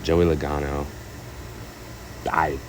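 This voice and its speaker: A man's voice: very deep voice, flat delivery, slow, a little hiss or hum, low-quality recording. A man giving a casual, rambling recap of a stock car race, stuffed up and hesitant, full of fillers and restarts. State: congested, stuffed up. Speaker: racing commentator.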